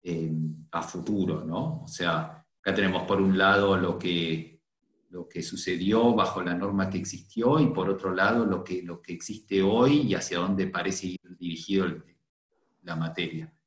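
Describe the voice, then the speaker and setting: A middle-aged man, speaking on an online academic panel, measured and hesitant. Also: sits low. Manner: measured, thinks aloud with many hesitations. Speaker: seminar panelist. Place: indoor room. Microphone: laptop camera mic on video call. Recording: roomy echo.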